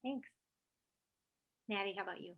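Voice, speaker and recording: A woman's voice: medium pitch, moderate pace, phone-quality sound. A woman hosting and moderating a panel conversation, introducing each guest with frequent ums. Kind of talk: warm-up of a video call panel.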